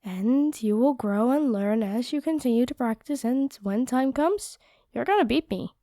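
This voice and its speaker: A woman playing a kind, encouraging vampire fighter in a roleplay audio drama, speaking gently and earnestly. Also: fairly high pitch, animated, normal speed, dry recording, clean studio sound.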